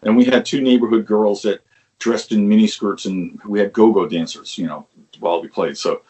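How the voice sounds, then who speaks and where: An older man with a fairly low voice tells stories from his past in a loose, rambling way. He restarts sentences often, swears now and then, and chuckles as he goes. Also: steady tone, moderate pace, mellow, low-quality recording. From Long Island, New York. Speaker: musician guest. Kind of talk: conversational interview storytelling.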